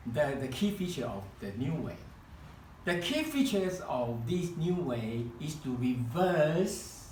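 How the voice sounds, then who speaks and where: A man whose first language is Chinese, leading a live kokedama-making demonstration in a room and often pausing to search for words. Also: a little high, roomy sound, slight background noise, low-quality recording. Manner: halting, searching for words, many restarts. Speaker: kokedama workshop founder demonstrating. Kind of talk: live hands-on craft demonstration. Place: room at a home demonstration event.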